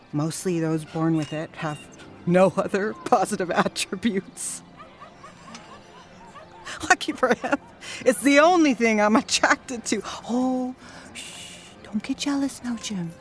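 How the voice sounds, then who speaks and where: An actress playing a drunk, defiant, sardonic 1930s wife in a period radio drama, rambling between mutters and outbursts. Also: female voice, mid-range voice. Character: Ernie Pyle's drunk, ranting, defiant, snobby 1930s wife.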